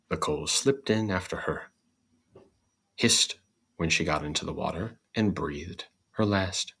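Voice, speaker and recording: Slow, very deep, husky male storyteller. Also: a bit bright. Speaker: host and story reader. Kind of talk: scripted storybook narration.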